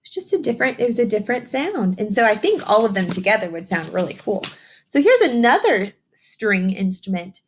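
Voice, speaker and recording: Female primary-style teacher for a children's read-aloud: lively, swooping intonation, mid-range pitch, encouraging and full of enthusiasm. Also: slight background noise. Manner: expressive, drawn-out reading with simple questions to listeners. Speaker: teacher reading a picture book aloud. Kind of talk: read-aloud lesson addressed to young children.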